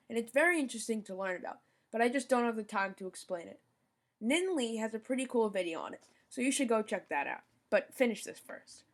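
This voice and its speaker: Young podcast host reading a script. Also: medium pitch. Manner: reads from a script, stumbles over foreign names and apologizes. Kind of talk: scripted informational podcast narration.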